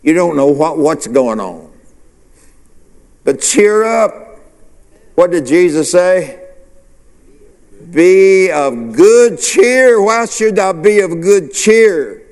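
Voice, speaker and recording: A male pastor preaches slowly, with a slightly high voice. He asks the congregation questions and answers them himself. His voice rings through a reverberant church hall. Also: lively intonation, a little mellow. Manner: preacherly call-and-response, rhetorical questions. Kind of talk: sermon.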